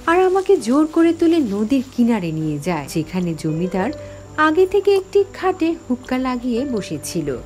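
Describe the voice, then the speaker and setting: A woman's voice: high voice, darkish tone, a little hiss or hum. A woman voicing the sorrowful ghost of a young village teacher, telling her story dramatically in a horror cartoon. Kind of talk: dramatic first-person horror story narration. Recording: background music under the voice.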